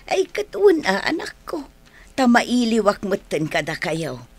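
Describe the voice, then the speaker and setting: A woman acting an affectionate old grandmother in an Ilocano radio drama, with a homely, expressive delivery. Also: mid-range voice, measured pace. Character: affectionate old grandmother visiting her family.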